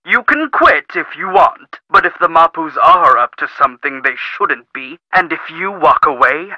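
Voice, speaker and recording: A man's voice: on the high side, lively intonation, moderate pace, dry recording. An actor playing a stern, self-important businessman in a video game, formal and curt, through a telephone filter. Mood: defensive, insistent. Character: stern, self-important busy businessman giving covert orders. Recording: dull, filtered phone-call sound.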